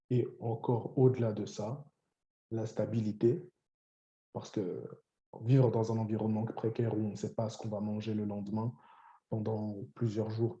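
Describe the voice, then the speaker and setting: Deep, dark-voiced earnest man. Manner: measured, earnest, deliberate. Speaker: coach and channel host. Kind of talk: reflective personal testimony. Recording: dull lo-fi sound.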